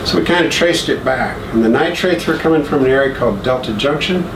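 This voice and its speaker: A man giving a relaxed guest lecture and telling joking anecdotes to an audience. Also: mid-range voice, fast talker. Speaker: guest speaker. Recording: echoey room, distant mic, air-conditioning hum.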